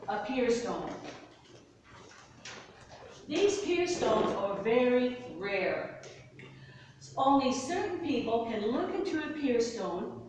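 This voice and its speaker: Soft-spoken woman storyteller in an echoey hall. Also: slight background noise. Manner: soft-spoken, animated storytelling with sudden pitch lifts. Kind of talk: oral storytelling to a live audience. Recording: far-off mic in an echoey hall.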